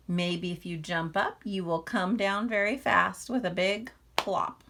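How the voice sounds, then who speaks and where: Woman reading a patient, teasing mother bear, creaky voice. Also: medium pitch, fairly even intonation, a little slow, fairly bright tone, slight background noise. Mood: doubtful.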